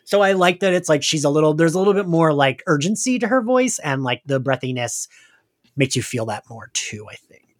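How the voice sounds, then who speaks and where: A man's voice: fairly high pitch, lively intonation, a little fast. A man casually recapping an episode on a podcast, giggly and a bit meandering as he talks. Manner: giggly, prone to laughing; meandering, hesitant. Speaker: podcast host.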